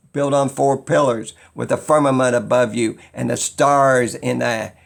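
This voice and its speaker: A man's voice: mid-range voice, gravelly. A man giving an unscripted opinion monologue as a video creator, rambling and insistent, repeating phrases for emphasis.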